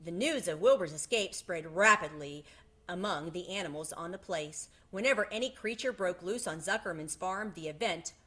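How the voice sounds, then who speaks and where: Bright, forceful woman reading a storybook. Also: fairly low pitch, moderate pace. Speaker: storybook reader. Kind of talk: expressive children's book read-aloud.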